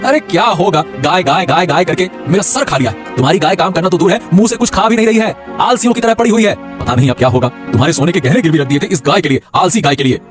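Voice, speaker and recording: A man voicing an excitable, grumbling village man in a cartoon folk tale, repeating words and exclaiming. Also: sits high, fast talker, hardly any pauses, music in the background. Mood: exasperated. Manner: stammering repetition, exclamatory. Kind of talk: cartoon moral-story voice acting.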